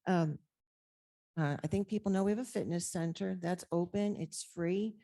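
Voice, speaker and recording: A soft-spoken woman, presenting her department's work at a city council meeting through a table microphone, with frequent fillers. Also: lowish voice, moderate pace, no echo, clean studio sound. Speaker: council on aging director presenting budget.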